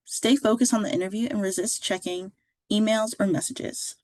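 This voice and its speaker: A woman reading a scripted instructional narration as a career services presenter, in a clear, measured, instructive way. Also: medium pitch, steady tone, a little mellow, no echo, poor audio.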